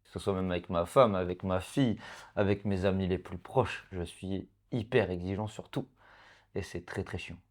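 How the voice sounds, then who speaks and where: Man, very deep voice, halting casual interview talk, laughs often. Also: steady tone. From Portugal. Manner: hesitant, many fillers and restarts, laughs often. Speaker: interview guest.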